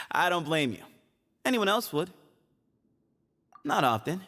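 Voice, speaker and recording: A man's voice: fairly high pitch, very animated, slow pace, sharp tone, exaggerated voice. An actor playing an easygoing, teasing young desert man in a video game, with a wry, evasive manner. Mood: wry, amused. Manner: breezy, wry, playfully evasive. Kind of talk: English video game voice acting. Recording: long reverb, music underneath.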